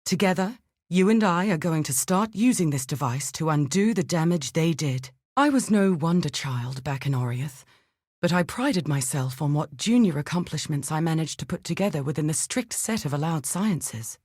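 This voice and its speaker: An actress playing an earnest, thoughtful scholar in exile in a video game, speaking in a measured, articulate and grave way. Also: female voice, deep voice, expressive, darkish tone, no room sound, studio quality. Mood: resolute, grave. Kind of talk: scripted dramatic game dialogue.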